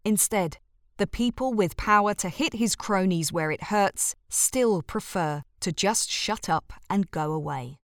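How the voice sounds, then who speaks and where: A woman narrates a written opinion column at a middling pitch. The sound is very dry, with no room around her scripted reading. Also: a little slow, no echo.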